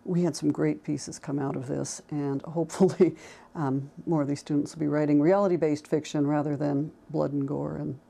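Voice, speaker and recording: A woman speaking as a college instructor, talking informally and reflectively about her work, with halting pauses. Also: low-pitched, little pitch movement, gravelly, dark tone. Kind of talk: informal talk to camera about teaching.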